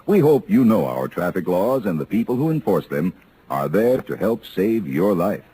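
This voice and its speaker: A man's voice: low-pitched, big pitch swings, moderate pace. A man reading a scripted public service announcement in an earnest, formal, emphatic manner. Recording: vintage radio recording with faint noise.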